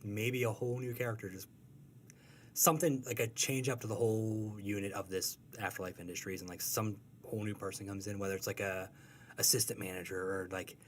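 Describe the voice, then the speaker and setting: A man with a fairly low voice chats as an interview guest. His talk is informal, slangy and loosely rambling, with faint noise in the room. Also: steady tone, brisk. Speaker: comic creator, interview guest. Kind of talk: casual conversation.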